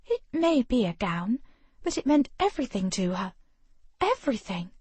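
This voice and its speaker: Brief: a woman voicing a defiant young heroine, higher and insistent. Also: very animated, moderate pace, darkish tone, husky, no echo. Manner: urgent and indignant.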